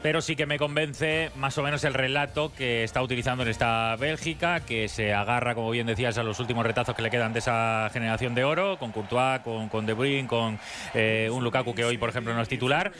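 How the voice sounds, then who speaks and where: A man's voice: medium pitch, steady tone, fairly quick, a bit bright, soft background music. A man giving his analysis as a football pundit on live radio.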